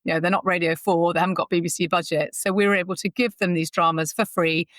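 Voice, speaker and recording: Lower-pitched woman, dry sound. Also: little pitch movement, fast, fairly bright tone, no room sound. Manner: enthusiastic, chatty. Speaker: audio drama producer, interview guest. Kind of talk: conversational interview.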